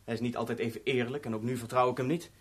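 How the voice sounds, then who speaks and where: An actor playing a cool international police agent in a 1970s Dutch radio play, speaking in a dry, measured, official way. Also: male voice, mid-range voice, steady tone, breathy edge, close to an everyday voice. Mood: matter-of-fact.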